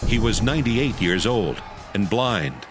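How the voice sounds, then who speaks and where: A man's voice: lowish voice, very animated, a little slow, over music. A man narrating a dramatized audiobook as a scripted storyteller.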